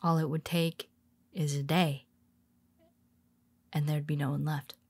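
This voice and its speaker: Woman doing a calm sly superhero lady, low slow voice, bit of fry, half whispering. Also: heavily acted. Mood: calculating. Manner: calm, wry and confiding. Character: cool, scheming female superhero confessing to her nemesis. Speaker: voice actor. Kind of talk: audio roleplay monologue.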